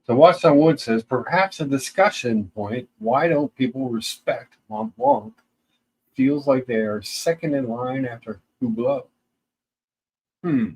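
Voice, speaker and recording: A man's voice: mid-range voice, big pitch swings, a little slow, creaky, darkish tone, breathy edge, rough recording. A middle-aged man co-hosting a casual chat, reading listener questions aloud and musing with some hesitant restarts. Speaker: co-host.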